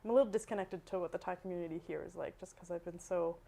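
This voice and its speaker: A woman with a Canadian accent talking casually as an interview guest, in an animated, emphatic and slangy way. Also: on the low side, very fast, creaky. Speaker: guest, dancer and artist.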